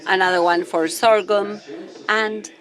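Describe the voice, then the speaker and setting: A man's voice: high-pitched, steady tone, measured pace, a bit bright. A man, a university professor on a conference panel, speaking carefully as a non-native speaker with a few restarts. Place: conference hall.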